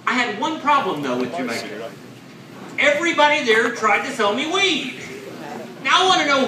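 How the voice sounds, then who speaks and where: A man in his forties performing a stand-up comedy set on a club stage, with deadpan, self-mocking punchlines. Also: very high voice, distant mic. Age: 40s. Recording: echoey club, audience laughter.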